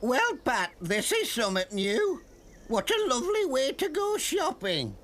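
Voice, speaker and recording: An old Northern English granny in a cartoon, forgetful and friendly. Her fussy, folksy voice is high and swoops wildly. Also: wildly swooping pitch, measured pace, noisy background, heavily acted. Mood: delighted. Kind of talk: children's cartoon character voice.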